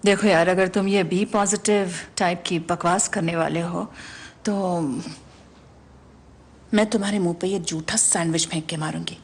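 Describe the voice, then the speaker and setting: A woman's voice: on the low side, fairly even intonation, normal speed, a little hiss or hum, close to an everyday voice. An actress playing a weary, dry, tough female team leader in a dubbed film. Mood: irritated.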